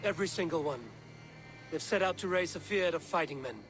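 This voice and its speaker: Man playing a steadfast Viking warrior, slightly high-set voice. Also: fairly quick, a bit bright, soft background music, natural voice. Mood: resolute. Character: steadfast, pragmatic Viking warrior, younger brother. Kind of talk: dramatic video game cutscene acting.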